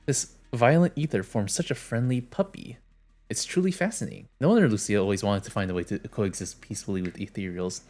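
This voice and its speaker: A man's voice: on the low side, fairly quick, darkish tone. Man streamer reading game text aloud. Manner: casual, plain reading with small restarts and asides. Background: game music.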